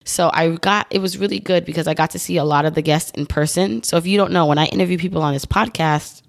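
A woman's voice: fairly low pitch, quick pace, vocal fry. A woman in her thirties hosting her own podcast, talking solo in a chatty, upbeat way with rambling asides, her voice still recovering after she lost it. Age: 30s. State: recovering from voice loss. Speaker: podcast host.

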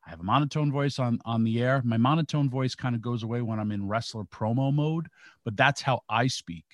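A man with a monotone voice makes his case on a talk show, stammering through restarts. The sound is dry and close. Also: mid-range voice, a little fast, no room sound. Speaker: co-host. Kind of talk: casual sports talk.

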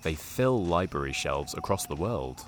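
An actor voicing a wry, deadpan storyteller, narrating a scripted dark comedy. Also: male voice, a bass voice, moderate pace, a little hiss or hum. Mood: dramatic.

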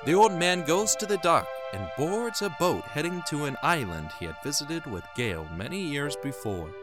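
A man delivering dramatic scripted narration as the narrator of a story. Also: lowish voice. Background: music.

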